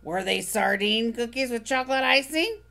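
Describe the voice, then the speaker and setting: A woman's voice: on the high side, expressive, normal speed, creaky, pushed and bright, pitched above the performer's own voice, exaggerated voice. A woman voicing a grumpy male monster who lives in a trash can, grumbling sourly in a storybook reading. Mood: grouchy. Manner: grumbling, sour.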